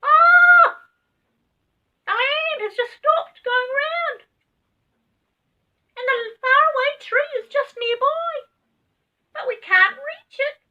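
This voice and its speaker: A woman's voice: very high-pitched, takes it slowly, pauses often, sharp tone, pitched above the performer's own voice, heavily acted. A woman voicing a small, helpful, anxious rabbit from a children's storybook, urgent and exclaiming. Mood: alarmed, excited. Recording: dull, distant home recording.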